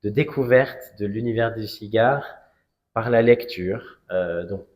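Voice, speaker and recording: Man, forties, from Strasbourg, lowish pitch, interview guest, measured and hesitant phrasing, frequent pauses. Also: a little mellow. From Strasbourg, France. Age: 40s. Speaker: interview guest, cigar brand ambassador. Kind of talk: conversational interview, personal story.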